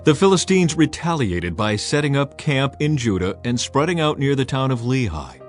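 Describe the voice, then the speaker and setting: A man narrating a dramatized scripture audiobook as an expressive storyteller. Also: mid-range voice, moderate pace. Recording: music behind the voice. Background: music.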